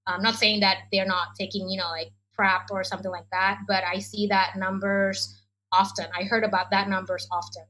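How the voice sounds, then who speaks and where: A woman's voice: medium pitch, level tone, moderate pace, pushed and bright, low-quality recording. An Indonesian woman, speaking English as a second language, answers questions as an education adviser in a chatty way full of ums and likes. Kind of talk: online Q&A session.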